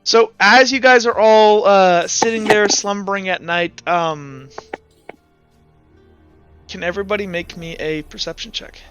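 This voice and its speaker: A man's voice: sits high, a little slow, a bit bright, soft background music. A man speaking casually as game master of a tabletop roleplay game, thinking aloud as he goes.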